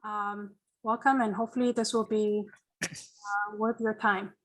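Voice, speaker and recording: A woman's voice: mid-range voice, level tone, unhurried, a bit bright, low-quality recording. A woman hosting a webinar over a video call, welcoming her guest in a friendly way with plenty of fillers. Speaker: host. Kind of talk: webinar moderation.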